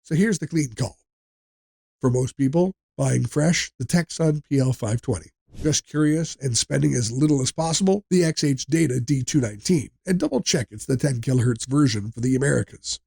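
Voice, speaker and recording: A man's voice: medium pitch, moderate pace, creaky, darkish tone, slightly rough, dry recording. A man narrating a scripted product buyer's guide in a direct, plain-spoken, instructive way.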